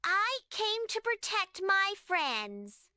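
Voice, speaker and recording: Tiny earnest little girl for a kids story, super high bright voice, swoopy and slow. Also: sing-song, no echo, clean studio sound, heavily acted. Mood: brave. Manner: earnest, childlike. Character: small brave little preschool girl, loyal and earnest. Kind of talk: children's bedtime story character voice.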